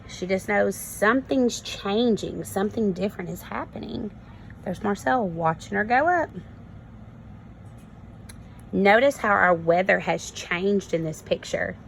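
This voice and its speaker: A woman, a kindergarten teacher, reading a storybook aloud and pausing to explain it simply to young children. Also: on the low side, gravelly, a little hiss or hum, rough recording.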